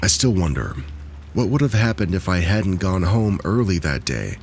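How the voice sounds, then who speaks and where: A man narrating submitted true spooky stories in a measured, scripted way. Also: low-pitched, sing-song, a little hiss or hum.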